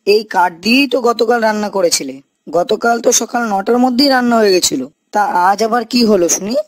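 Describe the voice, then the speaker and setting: A woman's voice: mid-range voice, fast, dry recording, natural voice. A woman voicing a dutiful young village husband in a cartoon, questioning and complaining. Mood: nagging, irritated. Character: dutiful, nagging young married village son. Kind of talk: cartoon character dialogue. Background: faint music.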